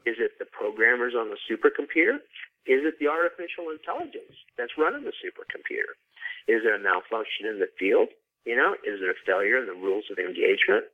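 A male guest talks in a casual, loose interview style. His mid-to-high voice comes through a dull, narrow lo-fi connection. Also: big pitch swings, fast talker. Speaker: author guest. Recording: dull lo-fi remote call.

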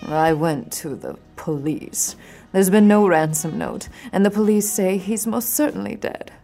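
A dark, mellow-voiced young society wife in a noir radio play. She is rich, cool and composed, with music softly underneath. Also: lowish voice, animated, measured pace. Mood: composed, worried. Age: 20s. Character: wealthy, poised young wife hiring a private detective. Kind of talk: noir audio drama dialogue.

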